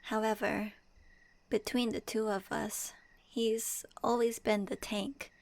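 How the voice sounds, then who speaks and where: A woman playing a gentle, thoughtful young swordswoman traveler, speaking softly to the listener in a fan audio roleplay. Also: on the high side, little pitch movement, slow pace, vocal fry. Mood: wistful, tender. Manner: soft, reflective, addresses listener directly.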